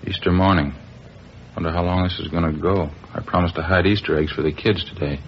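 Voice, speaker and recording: An American actor playing a folksy, understated police partner in a 1950s radio drama, talking in short casual phrases. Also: male voice, low-pitched, fairly even intonation, fairly quick. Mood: weary, chatty. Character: folksy, low-key male police partner on night stakeout. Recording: muffled, hissy old radio recording.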